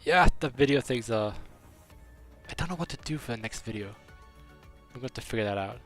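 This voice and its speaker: A man's voice: medium pitch, expressive, a little fast, fairly bright tone, soft background music. A young man from Germany with a slight German accent, chatting casually on a game stream and rambling through a story with many restarts. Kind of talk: casual stream chat, reading chat.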